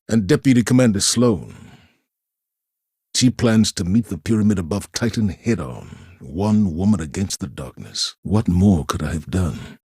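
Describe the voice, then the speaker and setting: A very husky, low-voiced commander, stern and burdened with duty. He speaks in grave, formal phrases with wide swings of pitch, as in a dramatic science fiction game. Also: darkish tone, dry recording, clean studio sound, exaggerated voice. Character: stern, weary, dutiful male military commander. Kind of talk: dramatic video game voice acting.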